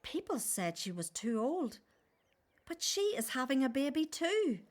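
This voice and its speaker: Casting: devout young woman, high voice, very expressive swings, earnest and wondering, radio drama. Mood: wondering, reverent. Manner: earnest, wondering, measured storytelling. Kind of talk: radio play acting.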